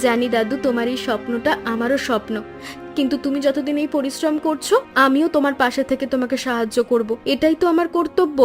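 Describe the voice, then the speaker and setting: Woman as high-voiced, dutiful young granddaughter. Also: brisk, close to an everyday voice. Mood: earnest. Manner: earnest, solicitous. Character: dutiful, caring college-age granddaughter. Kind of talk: animated storybook cartoon dialogue. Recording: music bed under the voice.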